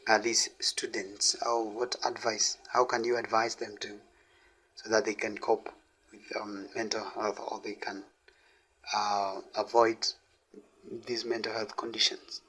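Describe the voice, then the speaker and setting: A man acting in a radio drama as someone speaking politely and haltingly at a school talk. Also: fairly low pitch, level tone, a bit bright, husky, poor audio. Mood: polite, hesitant.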